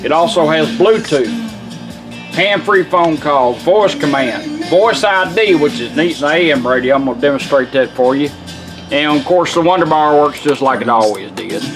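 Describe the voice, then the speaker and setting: Folksy man, higher-pitched voice, demonstrating a product. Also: lively intonation, normal speed, poor audio. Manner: casual, folksy, hands-on explaining. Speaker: radio restorer presenting a product. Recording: music playing behind the voice. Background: music from the demonstrated car radio.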